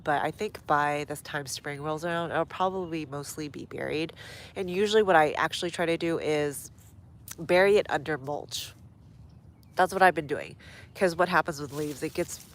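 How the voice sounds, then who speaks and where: A woman with a stuffy nose hosting a casual gardening livestream outdoors, chatting to viewers as she works. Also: low voice. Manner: chatty, reads viewer comments aloud while working.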